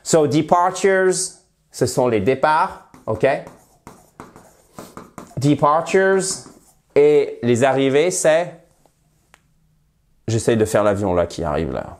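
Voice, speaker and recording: A man teaching English phrases in French, with a head cold, lively and friendly, slowing down to model the English. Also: mid-range voice. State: has a cold, slightly nasal voice. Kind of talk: bilingual language lesson at a whiteboard.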